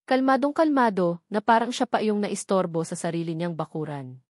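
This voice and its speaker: A Filipino-accented woman tells a love story as an audiobook narrator. Her delivery is dramatic but conversational, at a medium pitch. The recording is very dry, with little trace of a room. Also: moderate pace, a little mellow, no room sound.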